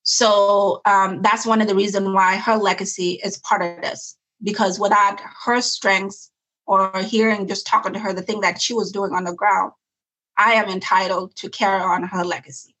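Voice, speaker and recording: A woman's voice: medium pitch, level tone, moderate pace, fairly bright tone, slightly rough, poor audio. A woman from Sudan, a nonprofit founder speaking earnestly and reflectively in an interview, often restarting her sentences. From South Sudan. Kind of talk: interview conversation.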